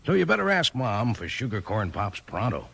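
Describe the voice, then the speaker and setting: Lively, husky radio pitchman. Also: moderate pace. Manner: folksy cowboy sales patter. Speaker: commercial announcer. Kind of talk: 1950s radio advertisement pitch.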